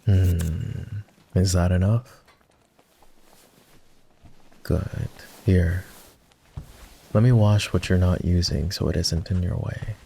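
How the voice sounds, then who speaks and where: A man plays a courteous vampire with a very low, gravelly voice. He speaks in formal, measured phrases, slowly and softly, as in an intimate ASMR roleplay. He is gently teasing and modest about himself. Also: steady tone, slight background noise, heavily acted. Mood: wry, teasing. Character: polite, self-deprecating, gently teasing ancient male vampire.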